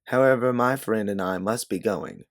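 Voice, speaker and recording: A man's voice: fairly low pitch, normal speed, natural voice. A man voicing a young woman in a story, anxious, guarded and determined, speaking in careful, earnest phrases. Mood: composed, urgent. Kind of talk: storybook character dialogue.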